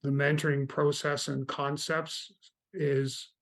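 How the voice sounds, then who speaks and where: A man from Canada, a guest in an interview, telling stories about mentoring in a relaxed, meandering way. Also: on the high side, slow pace. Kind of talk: casual interview chat.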